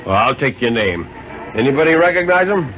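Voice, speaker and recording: A man voicing a big, gruff policeman, offhand and puzzled, in a radio story. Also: medium pitch, sing-song, fairly quick, natural voice. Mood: businesslike. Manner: colloquial, offhand. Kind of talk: 1950s radio dramatic reading. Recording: narrow-band, noisy old radio recording.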